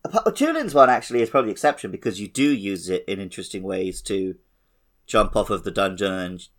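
A man's voice: on the low side, lively intonation, fast talker, fairly bright tone. A man hosting a podcast, talking casually about video games in a conversational way. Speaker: podcast host. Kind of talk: casual gaming podcast chat.

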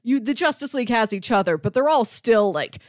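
A woman's voice: fairly high pitch, animated, a little fast, darkish tone, no room sound, studio quality. A woman co-hosting a podcast, discussing films in a casual, analytical, conversational way with asides. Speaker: podcast co-host debating films.

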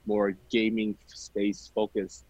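A man's voice: on the low side, completely flat delivery, takes it slowly, a little mellow, slightly rough. A young man from Vietnam, an MBA student guest, talking casually with lots of fillers and restarts. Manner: chatty with frequent fillers and restarts. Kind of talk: casual conversational interview.

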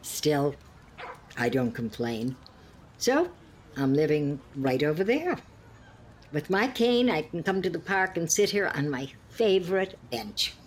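A woman's voice: sits low, very animated, unhurried, slight background noise. A woman playing a genteel, judgmental elderly friend in an audio play, prim and sighing, with politely pointed barbs. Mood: wistful, resigned. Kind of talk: scripted two-hander audio comedy-drama.

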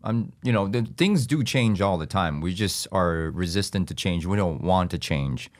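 Calm, deep-voiced man. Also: normal speed. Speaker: real estate agent. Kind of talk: casual panel debate.

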